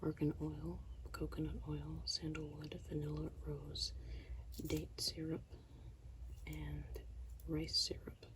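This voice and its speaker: A soft-spoken ASMR host, whispering slowly through a product haul with a tired, strained voice. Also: medium pitch, monotone, background noise. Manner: mostly whispered, slow and hushed.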